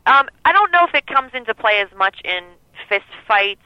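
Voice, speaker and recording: A lead singer with a lower voice talks over a dull, lo-fi phone line. She rambles easily, filling gaps with ums and you-knows. The sound is muffled, and the line is dry with no room echo. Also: normal speed. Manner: relaxed, rambling, many fillers. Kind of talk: phone interview.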